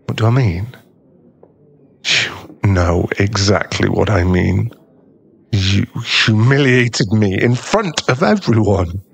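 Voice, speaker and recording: A man acting a husky, bitter young school bully. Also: fairly low pitch, lively intonation, takes it slowly, exaggerated voice. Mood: bitter, angry. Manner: aggrieved, swearing, scornful, dropping into breathy muttering. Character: bitter, heartbroken young male school bully, gruffly protective. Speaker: ASMR roleplay voice actor. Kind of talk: ASMR boyfriend audio roleplay.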